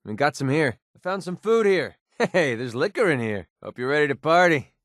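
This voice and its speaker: An actor voicing a wry, prickly young mercenary sniper with a hidden soft side in a video game, sarcastic and conversational. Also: male voice, a little high, moderate pace, no echo, studio quality. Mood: casual. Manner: sarcastic, conversational, emotionally expressive. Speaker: video game companion character. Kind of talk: naturalistic video game voice acting.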